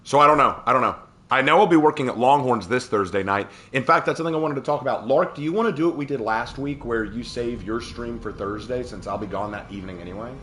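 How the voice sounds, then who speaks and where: A man with a slightly hoarse voice, casually chatting and reacting as he streams a game. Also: medium pitch, quick pace, a bit bright, a little hiss or hum. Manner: talkative, opinionated, laughs at the game. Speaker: livestreamer. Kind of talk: casual gameplay commentary.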